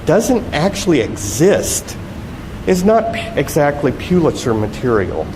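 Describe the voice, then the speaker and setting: A man voicing a dry, sardonic male TV news director with deadpan sarcasm, reading from a novel. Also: on the high side, unhurried, pitched above the performer's own voice. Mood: sarcastic. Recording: echoey hall with loud background noise.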